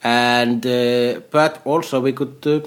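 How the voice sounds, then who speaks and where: A man from Iceland with an Icelandic accent, chatting casually in a dry, deadpan way with many halting fillers. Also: medium pitch, clean tone. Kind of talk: casual chat.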